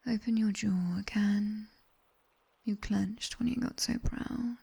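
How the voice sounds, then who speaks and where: A British woman voicing a sharp-tongued nightmare demoness tutor in a soft, breathy ASMR whisper, measured and gently commanding as she guides relaxation. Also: medium pitch, fairly even intonation, heavily acted. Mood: hypnotic, soothing.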